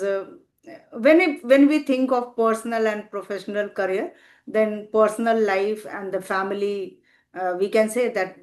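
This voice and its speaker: An older woman, a retired teacher, interviewing a guest in a slow, encouraging conversational style with long framing questions. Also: medium pitch. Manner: thoughtful, pauses with "uh", asks long framing questions. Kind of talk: slow, encouraging conversation in English as a second language.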